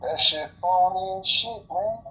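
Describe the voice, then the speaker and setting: A man's voice: a little slow, bright, forceful tone. A man in a casual, opinionated phone conversation, speaking emphatically in profane slang and repeating words to make his point. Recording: dull, noisy phone call audio with rumble.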